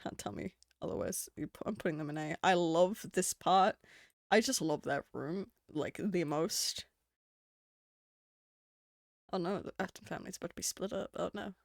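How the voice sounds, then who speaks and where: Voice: medium pitch, normal speed, vocal fry, dry recording, clean studio sound. A streamer with a New Zealand accent, chatting casually on a live stream, rambling and swearing. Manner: rambling and swearing, with frequent laughs.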